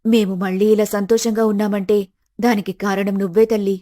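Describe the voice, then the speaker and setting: A woman voicing an affectionate, motherly older aunt with a gentle manner in an animated family story. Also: medium pitch, a little fast, voice pushed down, natural voice. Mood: relieved gratitude. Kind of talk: animated moral-story dialogue.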